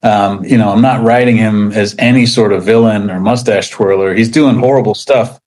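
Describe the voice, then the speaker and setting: Low-voiced man chatting casually, dry sound. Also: fairly even intonation, moderate pace, a little mellow, no echo. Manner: informal, rambling explanations with frequent hedging. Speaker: interview guest, comics writer. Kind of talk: casual conversational interview.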